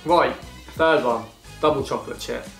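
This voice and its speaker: A man's voice: mid-range voice, normal speed, creaky, fairly bright tone, reverberant, soft background music. Chatty young man from England. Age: 20s. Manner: rambling, self-deprecating, chatty while eating. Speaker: YouTuber. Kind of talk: casual vlog Q and A.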